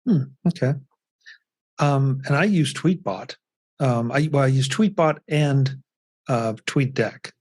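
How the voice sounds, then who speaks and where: A man's voice: mid-range voice, takes it slowly, a little mellow. A man hosting a casual panel chat over a video call, calling on each guest in turn. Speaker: host.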